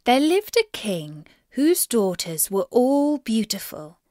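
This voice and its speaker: A woman reading a fairy tale aloud to children in an expressive, deliberate storytelling manner. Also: fairly high pitch, no echo, studio quality.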